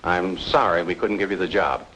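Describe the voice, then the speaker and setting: A man's voice: low-pitched, normal speed, bright, forceful tone, a little hiss or hum, rough recording. An actor playing a haughty older committee chairman, formal and curt, in an old-time radio drama. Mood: businesslike.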